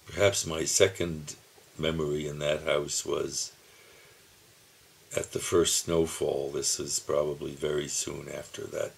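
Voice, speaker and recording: Older man in his 70s, slow musing diarist at home, deep gravelly voice. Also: flat delivery. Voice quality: calls his own voice dull. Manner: slow, musing, with soft chuckles and pauses. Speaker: video diarist. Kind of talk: reflective personal reminiscing. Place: home, seated at his computer. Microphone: computer camera mic.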